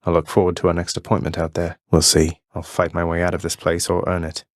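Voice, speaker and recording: A man's voice: sits low, completely flat delivery, fast, dry recording, lightly acted. A man playing a wry, rebellious young prince of the underworld in a video game, speaking in a dry, understated, politely sardonic way. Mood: determined.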